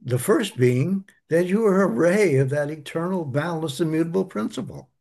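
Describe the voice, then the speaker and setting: A man's voice: a little high, expressive, normal speed, vocal fry, a little mellow. A man teaching a spiritual study group, earnest and emphatic, repeating key phrases as he answers questions.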